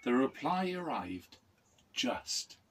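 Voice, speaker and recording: A man's voice: medium pitch, big pitch swings, slow, fairly bright tone, a little hiss or hum. A middle-aged man reading a children's picture book aloud expressively, as a teacher would. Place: small wooden room, indoors.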